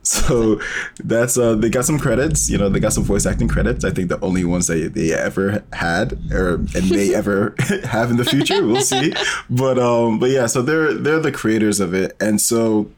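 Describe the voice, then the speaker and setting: A man with a very low-pitched voice, talking as a guest in a relaxed interview format. He explains his creative work informally, thinking aloud and building his point in loose, rambling steps. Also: moderate pace, slight background noise. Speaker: interview guest, sci-fi audio storyteller. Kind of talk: casual conversational interview.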